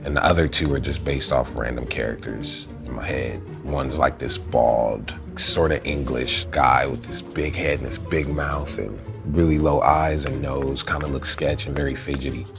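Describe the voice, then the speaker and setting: Young man, host, low voice, joking slangy chatter. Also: fairly even intonation, moderate pace, vocal fry, hardly any pauses, over music. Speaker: show host, stop-motion creator. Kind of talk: casual vlog chat.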